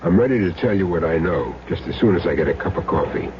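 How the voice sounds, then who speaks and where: A man's voice: deep voice, expressive, quick pace. An American man acting a cagey small-time hired gun in an old radio crime drama, streetwise and earnestly insisting he is clean. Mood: cagey, dry. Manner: streetwise, plain slangy talk, earnest self-justifying. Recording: dull, noisy old radio recording with hum.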